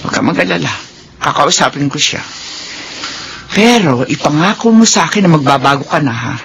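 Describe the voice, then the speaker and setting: Middle-aged Filipino matriarch, stern yet caring, lively intonation, radio drama with faint noise. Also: female voice, sits low, a little fast, creaky, heavily acted. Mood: calm, earnest. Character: stern, caring mother and wealthy benefactress.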